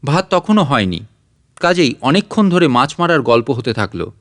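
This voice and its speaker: A man's voice: medium pitch, very animated, moderate pace, fairly bright tone. A man reading a literary short story as scripted audiobook narration.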